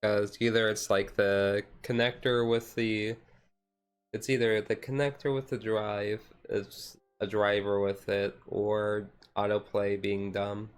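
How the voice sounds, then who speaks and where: A man streamer chatting casually in a chill, low-key livestream, rambling along with hesitant pauses. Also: little pitch movement, smooth, soft background music. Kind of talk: casual chat, ASMR-style chill livestream.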